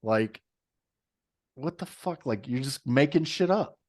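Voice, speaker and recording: A man's voice: mid-range voice, animated, slow pace, a little mellow. Ranting, profane man on a podcast. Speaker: podcast co-host. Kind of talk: casual chat.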